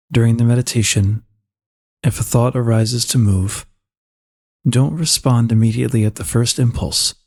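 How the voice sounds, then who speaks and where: A man leading a slow guided meditation in a calm, soothing and unhurried manner, with a soft husky voice. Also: medium pitch.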